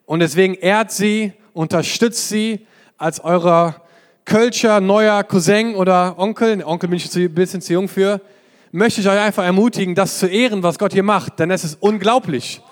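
A young man with a high voice preaches to a congregation with eager, exclamatory energy. His words ring out through a large church hall with a long reverb trailing behind them. He speaks as a visiting pastor. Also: normal speed. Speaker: guest pastor. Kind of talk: sermon.

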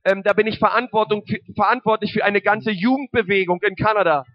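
A man's voice: high-pitched, fairly even intonation, fast, highs cut off. A man interpreting a sermon and prayer live, in short echoed phrases, sometimes searching for words. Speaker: church interpreter.

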